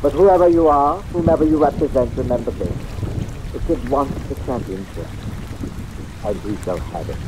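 An actor playing an obsessed, menacingly polite fight-fixing boss in an old radio drama, speaking formally and precisely with emotional intensity. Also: male voice, mid-range voice, moderate pace, noisy background, close to an everyday voice. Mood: cold, threatening. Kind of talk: 1940s-50s radio drama acting. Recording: old radio recording under rain ambience.

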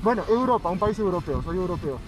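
A man's voice: high voice, expressive, fast, low-quality recording. A young man with a French accent, a native French speaker, casually interviewing passers-by outdoors and patiently repeating his questions. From France. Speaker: street interviewer. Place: city plaza outdoors. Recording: loud background music.

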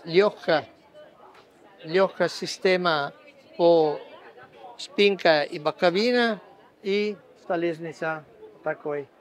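Halting man guiding a furniture stand tour. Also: on the high side. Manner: halting, ungrammatical Russian with restarts. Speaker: furniture showroom guide. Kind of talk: casual walking tour explanation. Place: busy furniture fair stand, indoors.

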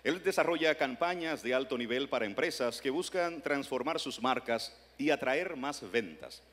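A male emcee stands on a conference stage and reads a formal speaker introduction into a handheld mic. His delivery is measured and read aloud, and the big hall adds a long reverb. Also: mid-range voice, expressive, a bit bright. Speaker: event emcee.

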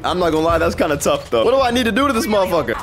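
A man's voice: sits high, very animated, very fast. Laid-back young male gamer commentating. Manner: slangy, swearing, laid-back reactions. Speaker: gaming streamer. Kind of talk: casual gameplay commentary.

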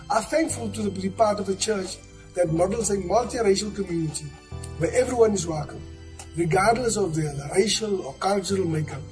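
A man giving earnest, steady scripted narration for a church video, recorded on a studio set. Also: high-pitched, low-quality recording. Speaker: church member presenting.

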